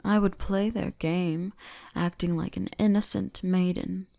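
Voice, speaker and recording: A woman plays a seductive fox-spirit shrine maiden who devours souls, speaking very slowly with a creaky edge. She is teasing and gently dominant in an ASMR roleplay, often sinking into whispers. The sound is close and dry. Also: lowish voice, fairly even intonation, no echo. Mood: seductive, menacing. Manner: slow, intimate, teasing, often dropping into whispers.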